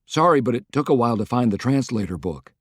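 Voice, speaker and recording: A man voicing a dutiful, deferential army officer reporting crisply in an audiobook. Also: mid-range voice, lively intonation, fast, no echo, studio quality, natural voice. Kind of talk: audiobook character dialogue.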